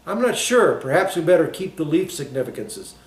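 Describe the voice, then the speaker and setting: A woman's voice: sits low, sing-song, brisk, echoey room, voice pushed up. Man reading as a fussy, bossy society matron. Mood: fussy, planning.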